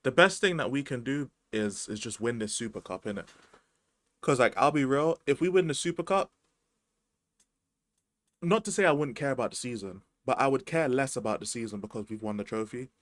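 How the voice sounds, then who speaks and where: A man's voice: mid-range voice, brisk, a bit bright, no echo. A man, chatting casually about football as a podcast host, slangy and rambling. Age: 20s.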